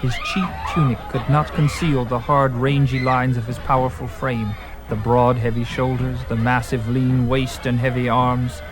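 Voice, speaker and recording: A man's voice: medium pitch, level tone, moderate pace. A man narrating a fantasy story in a vivid, theatrical, literary storytelling style. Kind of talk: dramatic scripted fantasy narration. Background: music.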